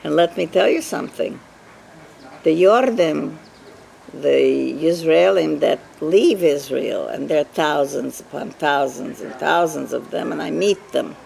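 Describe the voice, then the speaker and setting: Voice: medium pitch, vocal fry, a little hiss or hum. An older interviewee born in Russia with Russian as a first language, telling life stories emphatically and asking rhetorical questions. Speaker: Yiddish teacher, interviewee. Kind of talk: personal storytelling in an interview.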